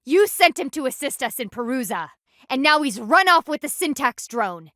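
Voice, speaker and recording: A woman's voice: sits high, very animated, normal speed, sharp tone, no room sound, clean studio sound, full character voice. A woman voicing a stern, proud panda warrior in a video game, speaking formally and with haughty, precise diction. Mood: indignant, stern. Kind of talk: scripted video game voice acting.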